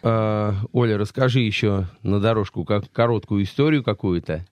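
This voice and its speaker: A middle-aged man hosting a studio interview, relaxed and chatty, telling stories from his band days. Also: lowish voice, normal speed, dry recording, lo-fi sound. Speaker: radio show host. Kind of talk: casual interview chat.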